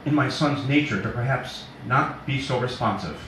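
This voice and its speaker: A man's voice: mid-range voice, normal speed, far from the mic, lightly acted. A man voicing a hulking but timid, effeminate tailor father who stammers and protests formally and deferentially. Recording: roomy, noisy bookstore reading from off the mic.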